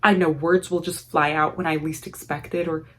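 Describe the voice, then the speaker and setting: A woman's voice: sits low, level tone, normal speed, fairly bright tone, slightly rough. A young woman with an Armenian accent telling a personal story in a casual vlog, choosing formal, elaborate words but often letting them tumble out impulsively, with the odd "like". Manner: formal, elaborate diction with filler "like". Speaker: vlogger.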